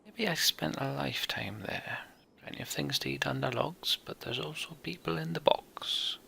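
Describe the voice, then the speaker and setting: A man voicing an eccentric, touchy backwoods marsh man in a fantasy game, muttering cryptically in halting phrases. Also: mid-range voice, vocal fry, bright, forceful tone, in a whisper, voice pushed up. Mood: cryptic, evasive.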